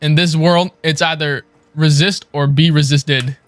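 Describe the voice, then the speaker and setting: A man with a fairly high voice chats through a game on a live stream. His commentary is loose, playful and wry, with faint game music behind it. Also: fairly even intonation, unhurried. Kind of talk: casual live gameplay commentary.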